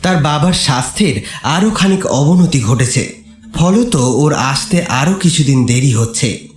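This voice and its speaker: Male narrator for dramatic horror audio story; animated intonation, echoey sound over music. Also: fairly high pitch, unhurried.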